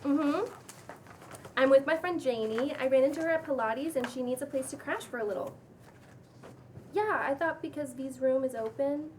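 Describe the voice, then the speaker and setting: A woman acts a bubbly young influencer with a high, chirpy voice. She is casual and eager to placate, in a script read with faint noise in the background. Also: moderate pace, long pauses, darkish tone, close to an everyday voice. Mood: placating. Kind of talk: table read of a pilot script.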